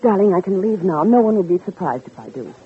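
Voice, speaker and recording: An actress playing an insistent, scheming married socialite in a 1940s radio drama, on a muffled vintage recording. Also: female voice, mid-range voice, expressive, fast, a little hiss or hum, lightly acted. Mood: coaxing, insistent. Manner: coaxing with lovers, sharp and scolding with husband. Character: insistent, scheming married socialite pursuing her former lover.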